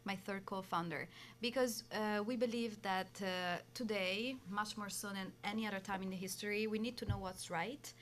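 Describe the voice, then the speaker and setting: A woman's voice: mid-range voice, fairly even intonation, moderate pace. A woman from Italy with an Italian accent, a nonprofit co-founder speaking as an interview guest in hesitant speech full of fillers.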